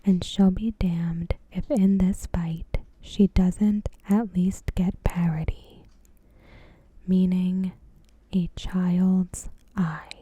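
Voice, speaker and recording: A woman doing ASMR commentary in a breathy, hushed whisper, measured and deliberate, close to the microphone. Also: on the low side, level tone, no echo. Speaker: ASMR creator reviewing a TV episode. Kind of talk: ASMR, soft scripted commentary. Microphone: close microphone in a dry space.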